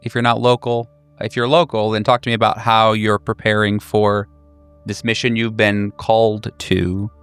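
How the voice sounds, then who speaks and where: A man's voice: on the low side, level tone, normal speed, a bit bright, clean tone, dry recording, faint music behind. A man hosting a tabletop role-playing podcast as game master, introducing the show and prompting players.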